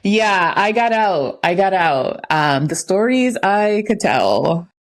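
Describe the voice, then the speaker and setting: A woman's voice: medium pitch, sing-song, slow. An American woman, a podcast co-host, talking casually in a candid, reflective way about her own experience. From United States, lived in New York when young. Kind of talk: casual chat.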